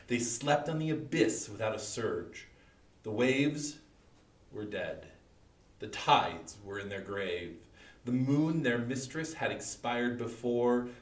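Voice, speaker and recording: A man reads dark poetry slowly and solemnly, leaving theatrical pauses between phrases. His voice is of medium pitch and sounds distant from the mic in an echoey room. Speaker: volunteer or library staff reader. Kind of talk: dramatic poetry reading.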